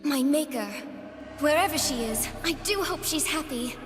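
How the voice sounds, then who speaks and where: Living doll heroine, high girlish pitch, sweet, hopeful, wistful, game character, long reverberant tail. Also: animated, moderate pace. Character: sweet, hopeful young doll woman brought to life, gentle fighter. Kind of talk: video game character voice lines. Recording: long reverb, music behind.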